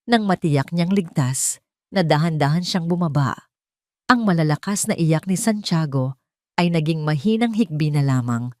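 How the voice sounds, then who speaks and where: A woman's voice: deep voice, animated, moderate pace, dry recording, clean studio sound. A Filipino woman reading a scripted dramatic story as its narrator.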